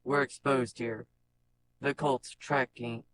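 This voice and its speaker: A performer voicing a grim, commanding cyborg with terse dramatic lines and a pitch-shifted robotic effect. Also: steady tone, takes it slowly, fairly bright tone, slight background noise. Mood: grim, urgent. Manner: terse, dramatic line delivery. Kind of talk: scripted character voice-over demo.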